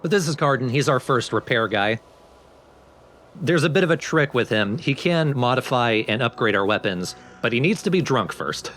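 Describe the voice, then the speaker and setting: A man's voice: medium pitch, animated, normal speed, a bit bright, a little hiss or hum. A man giving casual, explanatory gameplay commentary in an informal way. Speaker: let's play host and player.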